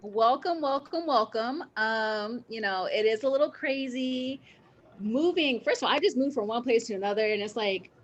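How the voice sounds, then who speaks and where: A woman's voice: a little high, normal speed, muffled recording. A woman chatting casually as the co-host of a show. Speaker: podcast co-host. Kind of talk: casual chat.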